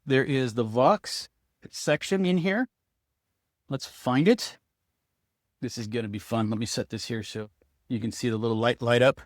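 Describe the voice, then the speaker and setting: A man's voice: medium pitch, moderate pace, long pauses, no echo, studio quality. A man giving a casual hands-on product review, speaking to viewers and thinking aloud as he demonstrates.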